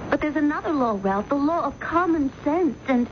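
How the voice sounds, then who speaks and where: An actress in a radio drama playing a worried, doubting fiancée with a questioning, reproachful manner. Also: female voice, high voice, animated, normal speed. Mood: exasperated. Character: worried, doubting young fiancée, exasperated with her man. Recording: dull, noisy vintage radio recording.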